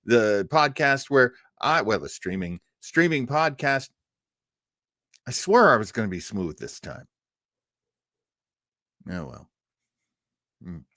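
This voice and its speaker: A man hosting a podcast, talking informally and haltingly to his audience in a chatty, self-deprecating way. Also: mid-range voice, fairly bright tone, no echo, studio quality. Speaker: host of an old-time radio podcast. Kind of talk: informal hosted monologue to a live stream audience.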